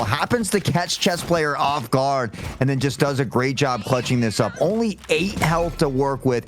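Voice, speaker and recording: Male esports analyst over noticeable background noise. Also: on the high side. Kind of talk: live analytical game commentary.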